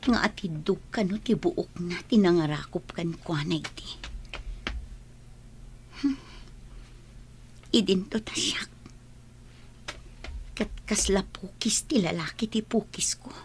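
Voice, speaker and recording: A woman's voice: lowish voice, slow, pauses often, noisy background. A woman playing a stubborn, vain daughter in an audio drama, talking in a whiny, defiant way. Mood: self-absorbed. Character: stubborn, vain daughter fussing over her looks.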